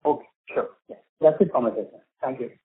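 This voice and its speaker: A man's voice: medium pitch, quick pace, background noise. A man asking polite questions as an analyst on an earnings call. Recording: tinny phone line.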